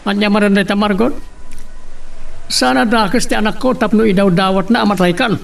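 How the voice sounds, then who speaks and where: A man's voice: sits high, fairly even intonation, brisk, gravelly. A Filipino man playing an indignant, questioning father in a radio drama. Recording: distant from the microphone.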